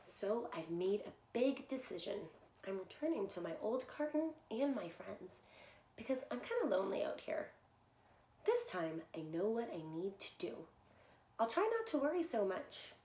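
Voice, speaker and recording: A woman reading a picture book aloud to young children in an animated, expressive way, often talking directly to them. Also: medium pitch, normal speed. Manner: animated, addresses listeners with simple questions. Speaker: children's story reader and soccer coach. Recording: echoey room, faint background noise.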